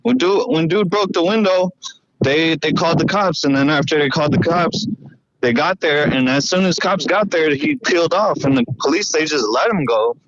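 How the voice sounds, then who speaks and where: A man on a phone call, recounting what he saw in a halting, repetitive, slangy way. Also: a little high. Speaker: eyewitness phone caller. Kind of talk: casual recounting.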